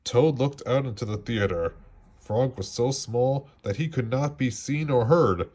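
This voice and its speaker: A man reading a children's story aloud in an expressive storytelling style. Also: medium pitch, unhurried. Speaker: storybook reader.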